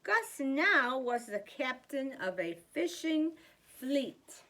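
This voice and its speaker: A woman reading a children's picture book aloud as a storyteller, deliberately and with theatrical emphasis. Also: high-pitched, big pitch swings, bright, forceful tone.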